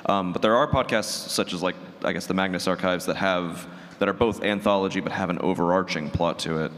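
A man's voice: low voice, steady tone, a little fast, fairly bright tone. A man hesitantly asking a casual question as an audience member. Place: large convention room. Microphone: audience microphone. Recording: echoey hall.

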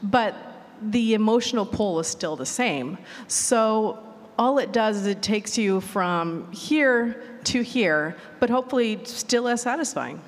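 A woman's voice: medium pitch, lively intonation, measured pace. Woman panelist in a reverberant hall. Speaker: panelist, writer. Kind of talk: casual panel talk, explaining.

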